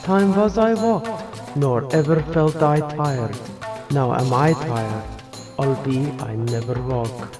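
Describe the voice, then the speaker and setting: A man's voice: medium pitch, takes it slowly, pitched above the performer's own voice, close to an everyday voice. A man voicing a frail, weary old man, plaintively reciting verse in an audio drama. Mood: weary, mournful. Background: music.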